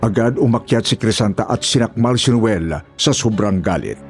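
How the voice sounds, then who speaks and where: A man's voice: fairly low pitch, normal speed, faint music behind. A man reading scripted, dramatic narration as a horror story narrator.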